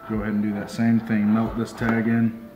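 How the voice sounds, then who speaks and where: A young man working as a fishing deckhand calmly walks through a hands-on demonstration step by step, often saying "just like so" as he works. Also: on the low side, noisy background. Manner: calm, unhurried, repeats "just like so" while working with his hands. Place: small indoor tackle shop workbench. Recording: roomy sound with music underneath.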